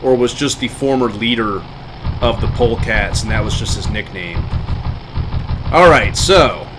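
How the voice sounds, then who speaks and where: A man's voice: lowish voice, lively intonation, a little slow. A man giving casual live commentary as he streams a game. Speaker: game livestreamer. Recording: game engine noise behind voice. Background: game vehicle sounds.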